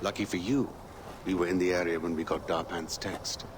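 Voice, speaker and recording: An actor playing an earnest male rebel leader in a video game cutscene, intense and brotherly, swinging between hushed and shouted lines. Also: sits low, fairly even intonation, a little fast, noisy background, lightly acted. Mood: firm.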